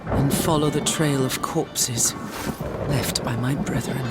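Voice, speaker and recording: A proud female angel commander in a video game. Her delivery is stern, solemn and formal, carried over loud dramatic music. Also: rumbling low, fairly even intonation, takes it slowly. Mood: stern, grudging. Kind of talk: video game character acting.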